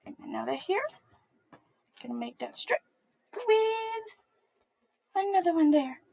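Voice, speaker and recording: A young woman reading a picture book aloud to young children in a sing-song, animated way, calling them her friends. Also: very high voice, a little slow, vocal fry, lo-fi sound, a little hiss or hum. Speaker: early childhood storyteller.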